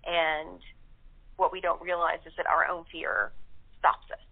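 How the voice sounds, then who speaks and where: A woman guest in a reflective discussion, speaking earnestly and philosophically with deliberate phrasing. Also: fairly low pitch, flat delivery, vocal fry. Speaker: radio-play cast member, guest. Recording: narrow telephone line.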